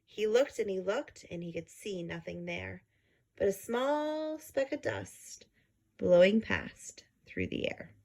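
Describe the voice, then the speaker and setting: A woman's voice: medium pitch, big pitch swings, slow, a little mellow. A woman reading a children's book aloud, adding simple explanatory asides for young listeners. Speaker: read-aloud storyteller.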